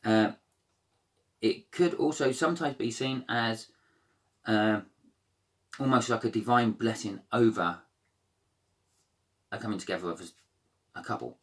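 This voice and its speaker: A man with a croaky voice giving a casual, rambling tarot reading, full of fillers and restarts. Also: lowish voice, a little slow, long pauses. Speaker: tarot reader.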